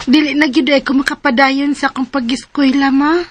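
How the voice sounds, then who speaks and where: A woman's voice: sits high, little pitch movement, fairly quick, no room sound, close to an everyday voice. An actress playing an earnest fifteen-year-old girl who pleads, in a radio drama. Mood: pleading. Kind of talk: radio drama acting and narration. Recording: radio drama with music behind.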